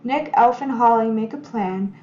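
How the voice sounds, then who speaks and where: Echoey, higher-voiced woman narrator. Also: moderate pace, warm tone. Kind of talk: scripted recap narration for a radio drama. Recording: echoey, muffled, noticeable background noise.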